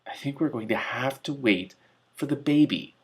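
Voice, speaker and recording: A man voicing a practical male magician in a storybook, measured and matter-of-fact. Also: medium pitch, husky, pitched above the performer's own voice, lightly acted.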